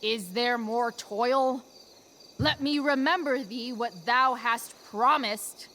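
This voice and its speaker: A teenage girl playing a loyal spirit in a game's amateur play. Her highish voice moves very slowly, halting as she searches for each archaic phrase. Faint crickets sit behind it. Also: pushed and bright, a little hiss or hum. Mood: halting, dutiful. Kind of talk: amateur stage verse in a game cutscene.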